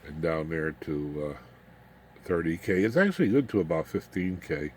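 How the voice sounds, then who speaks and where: A man giving an informal, unscripted hands-on demonstration as a hobbyist, haltingly, muttering asides to himself as he works. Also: very deep voice, lively intonation. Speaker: hobbyist radio builder demonstrating his equipment. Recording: clear background noise, room ambience.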